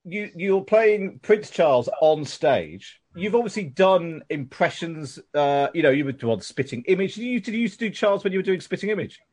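Lively, enthusiastic male interviewer. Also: high voice, moderate pace, few pauses. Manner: enthusiastic, laughs easily. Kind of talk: casual interview.

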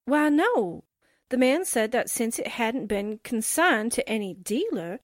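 Naive girl sidekick, played by a woman, 1940s radio: very high, slow, hesitant, close dry sound. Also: lively intonation, no echo. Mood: alarmed. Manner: wide-eyed, hesitant. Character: gullible, naive, flustered young newspaperwoman sidekick.

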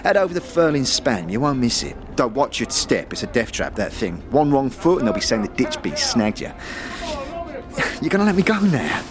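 A man's voice: mid-range voice, wildly swooping pitch, normal speed. A man playing a shifty, stammering pickpocket con artist who poses as a pious, obsequious monk in a fantasy audio drama. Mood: grudging.

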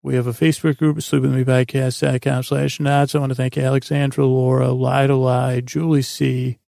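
A man with a gravelly, creaky voice hosting a bedtime podcast in a meandering, halting monologue that trails off mid-thought. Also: mid-range voice, level tone, warm tone, no echo, studio quality. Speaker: podcast host.

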